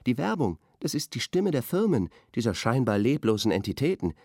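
An actor playing an earnest, lecturing intellectual art critic in a radio drama studio discussion. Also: male voice, mid-range voice, sing-song, a little fast, darkish tone, no echo. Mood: solemn, admiring.

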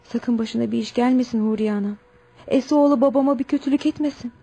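A woman's voice: a little high, a little fast, mellow. A woman playing an emotional, stubborn young village daughter who pleads and commands in a radio play. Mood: anxious. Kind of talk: Turkish radio theatre acting. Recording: noisy old radio play recording.

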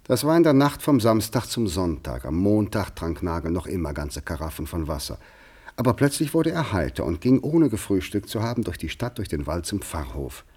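Radio drama narrator: sober, literary man, very low husky voice, music underneath. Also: very animated, normal speed, a little mellow, heavily acted. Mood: composed, storytelling. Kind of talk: scripted narration.

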